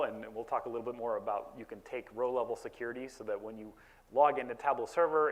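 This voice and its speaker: A man giving a conference talk about software deployment to a live audience, explaining with frequent fillers and restarts and a naturally loud voice. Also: mid-range voice. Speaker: conference presenter. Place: conference session room.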